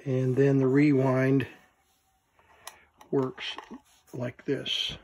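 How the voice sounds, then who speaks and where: A man who collects vintage gear gives a casual, unscripted hands-on demonstration, thinking aloud in halting short fragments. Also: medium pitch, monotone, a little mellow, slight background noise. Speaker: vintage electronics collector presenting his item.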